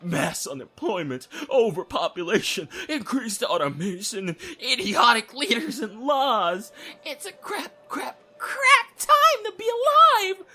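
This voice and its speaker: Guy doing a cocky loudmouth young inmate for an audio sketch, super animated, sharp voice, sarcastic and crude. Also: extremely high pitch, full character voice. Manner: brash, theatrical, sarcastic. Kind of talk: audio drama sketch acting.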